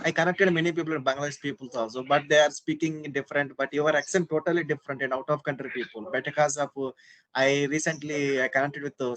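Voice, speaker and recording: A man in his twenties from South India with an Indian English accent, chatting on an online call to practise his English, halting and searching for words as he asks questions. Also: medium pitch, fairly bright tone, low-quality recording. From Hyderabad, South India. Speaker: conversation partner practising English. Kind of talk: casual online video call chat.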